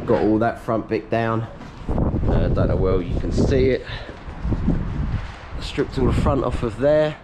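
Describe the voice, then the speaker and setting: A man in his thirties, slightly hoarse, chatting casually to a head-mounted camera while he strips out his workshop. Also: fairly low pitch, very noisy. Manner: chatty, upbeat, matter-of-fact while working. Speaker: workshop owner vlogging. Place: large workshop being stripped out, indoors. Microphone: head-mounted action camera.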